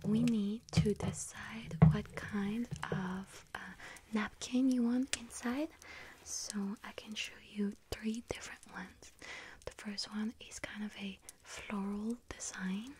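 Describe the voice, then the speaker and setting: A woman playing a friendly, scatterbrained young witch serving a bakery customer in an ASMR roleplay, hesitant, apologetic and gently chatty. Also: medium pitch, background noise, lightly acted. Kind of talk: ASMR roleplay, soft whispering.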